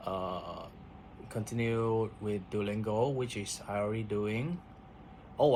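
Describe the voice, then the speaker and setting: Brief: lowish-voiced man, halting vlog chat, faint hiss behind. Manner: hesitant, repeats words, many fillers. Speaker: vlogger. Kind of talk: casual spoken diary.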